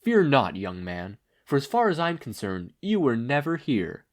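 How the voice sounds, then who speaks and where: Man putting on a pompous gentleman hero, pitched up. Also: sing-song, normal speed, natural voice. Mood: grandly magnanimous. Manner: florid, old-fashioned gentlemanly phrasing. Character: genteel theatrical gentleman hero, pompous and courteous.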